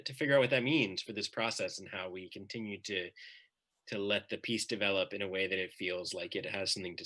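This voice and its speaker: A man's voice: on the low side, sharp tone. A man talking casually and reflectively as a playwright on a panel, tentative and searching for his words.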